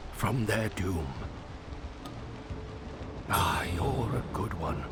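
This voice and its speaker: An actor playing a weary, wise old man in a video game, speaking in a deliberate, folksy way over music. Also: mid-range voice. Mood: grave, urgent, then relieved. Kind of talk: dramatic video game voice acting. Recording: background music under the voice.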